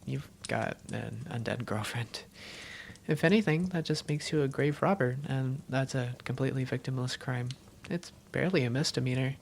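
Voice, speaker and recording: Woman playing a low, creaky-voiced vampire girlfriend. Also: moderate pace, darkish tone, a little hiss or hum. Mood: joking.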